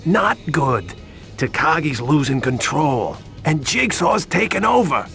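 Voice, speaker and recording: Creaky, animated, nervous informant. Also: male voice, on the high side, slow pace, faint music behind, full character voice. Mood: urgent, uneasy. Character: nervous, fast-reacting male informant, streetwise and worried. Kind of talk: video game cutscene acting.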